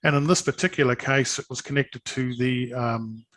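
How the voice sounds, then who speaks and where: A man giving an informal sales presentation of products, speaking hesitantly and haltingly, often repeating words. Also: mid-range voice, fairly even intonation, background noise.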